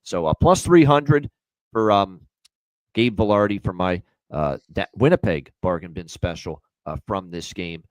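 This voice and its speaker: A man's voice: low voice, expressive, unhurried, no echo, rough recording. A man hosting a hockey betting show, moving from upbeat sponsor reads to casual picks with frequent fillers. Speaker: host. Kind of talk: sports betting show chat.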